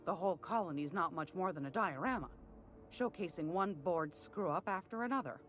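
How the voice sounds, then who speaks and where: A woman's voice: fairly low pitch, animated, a little fast, faint music behind, lightly acted. An actress playing a folksy, sly older woman trader in a video game, sweet-talking and knowing, with a drawl. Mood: wry, confiding. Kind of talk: video game character dialogue.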